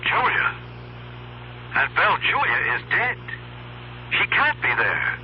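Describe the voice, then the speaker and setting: Puzzled nephew, dull phone sound. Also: male voice, high-pitched, wildly swooping pitch, takes it slowly, exaggerated voice. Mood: puzzled, concerned. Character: puzzled, concerned nephew on telephone. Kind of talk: old-time radio drama acting. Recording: dull, faintly noisy telephone-like radio sound.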